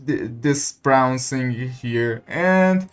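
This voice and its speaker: Slow-talking game streamer, halting English speaker. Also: medium pitch, expressive, soft background music. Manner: halting, searching for words. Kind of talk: casual livestream chat over gameplay.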